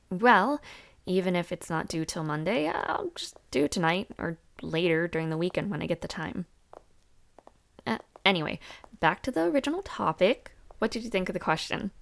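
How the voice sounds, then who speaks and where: A woman's voice: medium pitch, creaky. A young woman playing a chatty, over-prepared university student in a roleplay audio drama, talking casually and a little hesitantly. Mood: casual, curious. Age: young adult.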